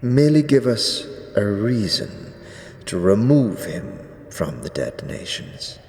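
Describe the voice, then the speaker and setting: A grave undead guardian priest speaks in archaic, devout pronouncements. His very deep voice moves slowly and rings through a heavily echoing hall, as in a fantasy audio drama. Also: very animated, a little mellow, full character voice. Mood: grave, scheming. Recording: echoey stone hall reverb, faint noise.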